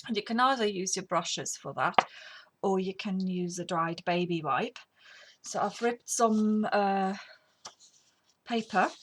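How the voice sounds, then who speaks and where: A woman's voice: medium pitch, fairly bright tone. A middle-aged woman with a slight cold, chatting casually and rambling while demonstrating a craft technique. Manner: rambling, chatty, drops to whispers while working. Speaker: craft tutorial host. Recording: faint background noise.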